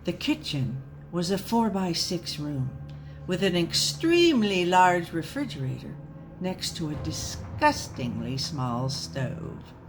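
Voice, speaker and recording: A woman reading a comic story aloud in a dramatic, mock-serious, deliberate storyteller's manner. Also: fairly low pitch, sing-song, noisy background.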